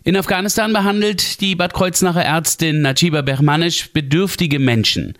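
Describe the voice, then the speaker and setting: A man hosting a radio program, reading out scripted news moderation smoothly. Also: on the high side, normal speed, a bit bright. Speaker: radio host.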